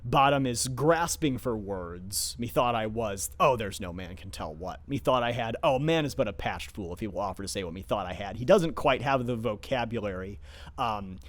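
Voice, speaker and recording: A man's voice: lowish voice, expressive, moderate pace. A man speaking as a theatre director in an informal, scholarly talk, enthusiastic but often searching for words as he explains. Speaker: theatre director discussing his production.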